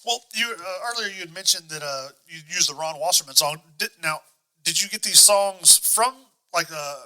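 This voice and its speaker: A man with a somewhat high voice and a sharp, very bright edge. He hosts in a casual, chatty style, haltingly reworking his questions as he speaks. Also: poor audio. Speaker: podcast host, asking questions. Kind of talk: casual interview chat.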